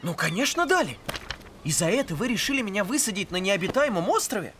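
An actor voicing a carefree, cheeky young male pirate captain in an animated film. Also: mid-range voice, fast, slight background noise. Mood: breezy, protesting.

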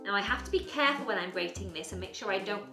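A woman's voice: mid-range voice, expressive, very fast, over music. A young woman presenting a playful children's cooking show in a home kitchen, bubbly and sing-song. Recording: echoey kitchen.

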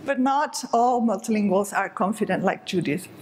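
A woman's voice: mid-range voice, lively intonation, normal speed, darkish tone, a little hiss or hum. A middle-aged woman from southern Spain, giving an explanatory, emphatic stage talk to an audience. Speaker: speaker. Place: dark stage with curtain.

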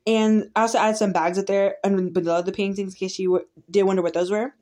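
A woman YouTuber talks through her game build in a quick, casual stream of chat. She rambles and often backs up to restart her sentences. She records it on a phone aimed at a tablet screen. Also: medium pitch, steady tone, warm tone. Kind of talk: casual game walkthrough narration. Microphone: phone filming a tablet screen.

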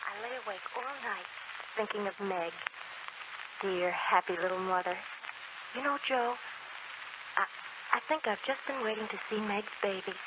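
An actress in a 1940s American radio drama playing a frail, gentle dying young woman, speaking haltingly and wistfully with sweet resignation. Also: mid-range voice, lightly acted. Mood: gentle, resigned. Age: young adult. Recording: muffled, very noisy 1940s radio recording.

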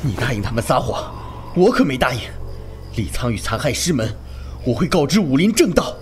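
A man's voice: medium pitch, big pitch swings, fairly quick, close to an everyday voice. A young man playing an upright, stern, protective martial brother in a wuxia audio drama, earnest and righteous. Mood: stern, insistent. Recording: music under the voice.

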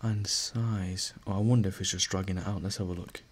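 A male teacher narrating a screen-recorded lesson, a little hoarse as he is losing his voice, talking through each step with fillers and restarts. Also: sits low, brisk. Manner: relaxed, thinking aloud with fillers and restarts.

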